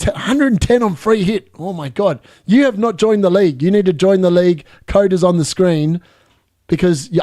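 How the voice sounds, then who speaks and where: Excitable high-voiced man in his thirties. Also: no room sound, clean studio sound. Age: 30s. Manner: chatty, excitable, laughs often, reads viewer questions aloud. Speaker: fantasy football streamer. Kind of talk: live stream Q&A chat.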